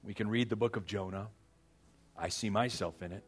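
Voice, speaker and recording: A man preaching as a pastor, earnest and exhorting, with dramatic pauses, repeated phrases and a husky voice. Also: lowish voice. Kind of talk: sermon with personal stories and prayer.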